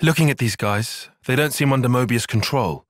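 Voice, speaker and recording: A man playing a young, analytical soldier tactician in a video game, speaking in precise, formal, measured phrases. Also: mid-range voice, no room sound, clean studio sound, close to an everyday voice. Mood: analytical, composed. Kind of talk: video game cutscene voice acting.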